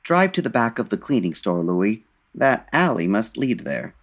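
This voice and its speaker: Man acting as suave 1940s gentleman detective, very low voice, dry wit, formal, unflappable, radio mystery comedy. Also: sing-song, normal speed, background noise. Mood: wry, composed. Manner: dry, urbane, formal wording.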